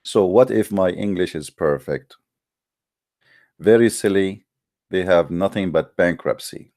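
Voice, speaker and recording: A man's voice: low-pitched, measured pace, darkish tone, no room sound, clean studio sound. A man from the Middle East, a native Arabic speaker with an Arabic accent in English, hosting a religious call-in debate with a combative, boastful, emphatic monologue in short phrases.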